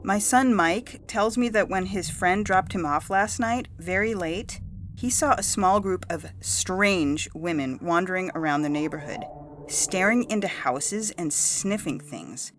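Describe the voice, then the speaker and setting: A woman's voice: fairly low pitch, lively intonation, a little slow, a little hiss or hum. A woman playing a self-righteous, suspicious suburban mother, telling her tale in a gossipy, indignant way for an audio story. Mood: alarmed. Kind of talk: scripted audio fiction monologue.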